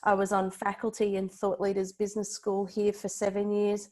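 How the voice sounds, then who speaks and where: A woman's voice: medium pitch, completely flat delivery, normal speed, a little mellow. A woman in her forties with an Australian accent, talking in a chatty, loosely structured way as an interview guest. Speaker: podcast guest, personal branding expert. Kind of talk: conversational interview, recounting own career.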